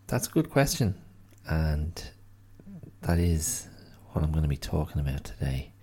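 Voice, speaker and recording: A man's voice: very deep voice, creaky, mellow, slightly rough. An Irish man hosting his own podcast, chatting casually and rambling with many self-corrections, tired and a little stuffy-nosed from hay fever. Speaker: podcast host. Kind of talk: casual solo podcast chat.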